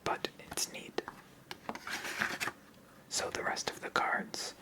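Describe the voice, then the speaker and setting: A person of unclear sex giving a whispered ASMR tutorial as host, explaining step by step in a soft, unhurried manner. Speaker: host of a card game channel.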